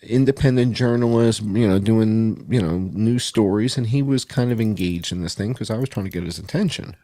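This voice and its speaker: A man's voice: lowish voice, moderate pace, dark tone, dry recording. A man hosting a casual interview, chatty and joking, interrupting often. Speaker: show host.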